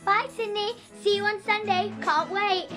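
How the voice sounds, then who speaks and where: A little English evacuee girl in a wartime radio play. Her voice is high and childlike, and she talks with a blunt, precocious cheek. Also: fairly even intonation, moderate pace, loud music behind the voice. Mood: boastful, smitten. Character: cheeky, starry-eyed young evacuee girl. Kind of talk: period radio drama.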